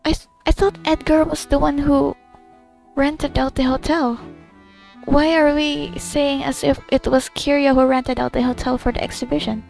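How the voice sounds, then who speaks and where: Young female gamer, high voice with vocal fry, rambling casual playthrough reactions. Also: normal speed, soft background music. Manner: rambling, bewildered, expressive. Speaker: gaming streamer. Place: game commentary with game music behind.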